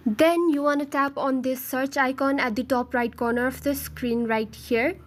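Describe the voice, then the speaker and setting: A woman hosting a step-by-step app tutorial voice-over, instructing viewers directly. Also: high-pitched. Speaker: tutorial host.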